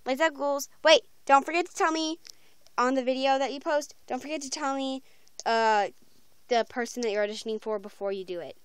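High-pitched, clear young woman, chatty. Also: a little fast, no room sound. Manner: informal, rambling, slangy. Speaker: video creator announcing voice auditions. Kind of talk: casual chatty vlog. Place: small room at home, bedroom. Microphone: handheld phone, close by.

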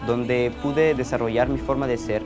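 A young man student speaks at a middling pitch, reading an earnest prepared testimonial. Clear background music runs underneath. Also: steady tone, moderate pace. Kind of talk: scripted school promo testimonial.

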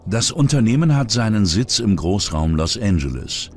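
Man, low voice, scripted true-crime narration, soft music behind. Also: big pitch swings, normal speed. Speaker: documentary narrator. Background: music bed.

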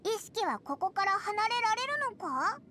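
Squeaky, very high little fairy companion. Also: female voice, sing-song, normal speed, sharp tone, slight background noise, exaggerated voice. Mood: cheerful, eager. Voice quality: squeaky, childlike. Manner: blunt, casual, boyish wording. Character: tiny childlike floating fairy companion, cheerful, bossy, caring. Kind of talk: anime game voice acting.

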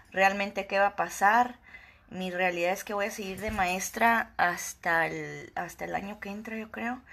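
Sarcastic northern Mexican woman ranting. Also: a little hiss or hum. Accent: northern Mexican Spanish. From Ciudad Juárez, Mexico. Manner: sarcastic, joking, swings between loud outbursts and low asides. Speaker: vlogger. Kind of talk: casual rant to camera. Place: indoor room with wooden shelves. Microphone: phone camera at arm's length.

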